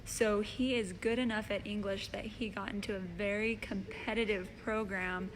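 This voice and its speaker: A woman's voice: medium pitch, fairly even intonation, normal speed, a crowd in the background. A young woman hosting a casual live-stream interview, talking in an upbeat, chatty way with fillers. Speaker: host interviewer.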